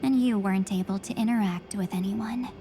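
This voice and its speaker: A woman voicing a calm, mysterious female double who lives inside another girl's memories, speaking slowly in a hushed, measured way. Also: mid-range voice, close to an everyday voice. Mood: somber. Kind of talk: video game cutscene voice acting.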